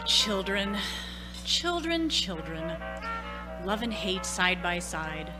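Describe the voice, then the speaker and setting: A woman's voice: mid-range voice, lively intonation, extremely slow, over music. A woman acting an anxious suburban housewife and mother in a radio play, hesitant and theatrical. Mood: brooding.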